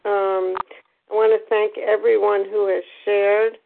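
A woman chairing a recovery meeting, speaking in a formal, measured way. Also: medium pitch, little pitch movement, gravelly. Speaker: meeting leader. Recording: tinny phone line.

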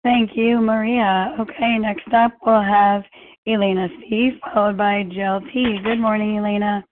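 Woman chairing a call in a flat voice. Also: medium pitch, a little slow. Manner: brief, orderly introductions. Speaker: meeting chair. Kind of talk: chairing a meeting. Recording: tinny phone line with faint noise.

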